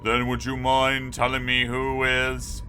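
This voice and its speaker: A man voicing a gruff, stern policeman in a children's storybook. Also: medium pitch, completely flat delivery, measured pace, bright, forceful tone, a little hiss or hum, voice pushed up.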